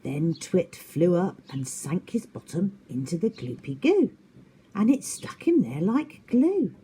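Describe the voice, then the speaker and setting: A woman's voice: lowish voice, big pitch swings, slow pace, mellow, slight background noise. A woman narrating a children's story expressively, as a teacher does at story time.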